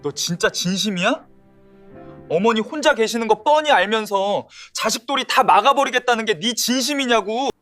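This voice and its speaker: An exasperated husband, voiced by a man in an audio drama, who pleads and accuses in turn. His voice is pitched well above most men's, with soft music underneath. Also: normal speed. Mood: angry.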